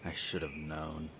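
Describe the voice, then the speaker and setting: A man's voice: a bass voice, background noise. A man playing a smug, menacing aristocratic vampire in a scripted audio roleplay, speaking formally with condescending disdain. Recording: birdsong and nature sounds behind. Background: birdsong, garden nature ambience.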